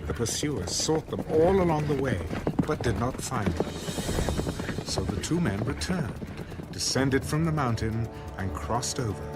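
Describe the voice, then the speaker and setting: A man's voice: medium pitch, animated, a little slow, natural voice. A man narrating a dramatized Bible as a scripted storyteller. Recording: background music under the voice.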